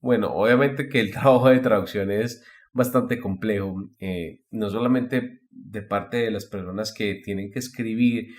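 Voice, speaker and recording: A man hosting a casual podcast chat about anime, explaining things in a rambling, halting way with repeated words. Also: on the low side. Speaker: podcast host.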